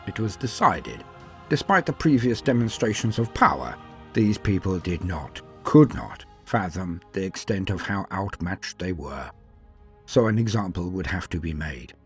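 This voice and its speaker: A man narrating scripted stories in a dramatic, solemn and measured way, with emphatic swells and falling sentence endings. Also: sits low, lively intonation. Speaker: narrator and host of a fan fiction lore channel. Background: music.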